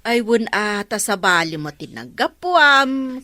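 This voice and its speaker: Bright-voiced nosy Ilocano neighbour. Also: female voice, mid-range voice, sing-song, takes it slowly, no room sound, full character voice. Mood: prodding. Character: nosy, chatty village neighbour woman. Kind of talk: Ilocano radio comedy drama acting.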